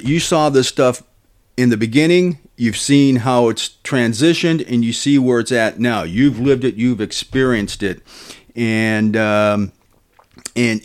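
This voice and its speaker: A man's voice: medium pitch, measured pace. A man hosts a podcast in a mid-range voice. He asks long, rambling questions, restarting often and padding them with fillers. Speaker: podcast host. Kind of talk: casual podcast interview.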